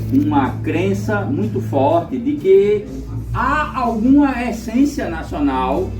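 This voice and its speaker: A man, explaining his views at a panel in a measured way, full of rhetorical questions. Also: high-pitched. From Rio Grande do Norte, Brazil. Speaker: panel speaker. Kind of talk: lecture-like discussion. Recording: echoey room, distant mic, music behind.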